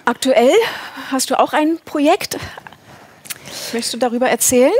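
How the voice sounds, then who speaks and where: A woman's voice: sits high, moderate pace, a little mellow. A middle-aged woman hosting a casual talk show interview, asking questions in an enthusiastic, encouraging way. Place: indoor talk studio with seated group.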